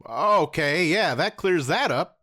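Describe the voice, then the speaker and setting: A man playing a cocky young masked rider hero who is casual, sarcastic and quick to swear. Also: a little high, expressive, pushed and bright, full character voice. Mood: bewildered. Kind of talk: fan audio drama.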